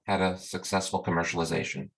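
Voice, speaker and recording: A man's voice: low voice, steady tone, quick pace, gravelly, fairly bright tone. A man on a call asking brief, direct questions.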